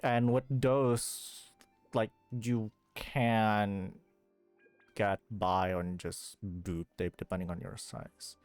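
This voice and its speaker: A woman's voice: a bass voice, flat delivery, extremely slow, darkish tone, no echo. A woman livestreaming a game in casual chat, rambling, swearing freely and reacting with disbelief. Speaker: livestreamer playing a video game. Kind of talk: casual live chat and commentary. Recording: game music behind the voice.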